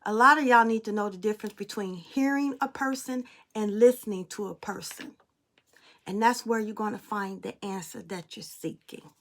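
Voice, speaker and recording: A woman's voice: mid-range voice, moderate pace, fairly bright tone. A young adult woman speaking in a casual spiritual monologue as a spiritual reader, blunt and emphatic, swearing freely.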